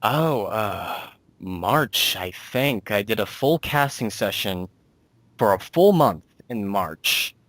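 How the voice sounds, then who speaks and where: A man's voice: fairly low pitch, lively intonation, slow pace, a bit bright, no echo. A man hosting a casual interview as a director, explaining things in a rambling way and restating himself. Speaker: interviewer and director of an amateur audio drama.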